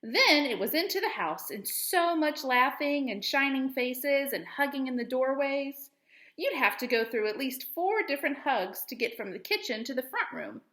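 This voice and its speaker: A woman teacher reading a storybook aloud to children with expressive, deliberate storytelling phrasing. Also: high-pitched, roomy sound.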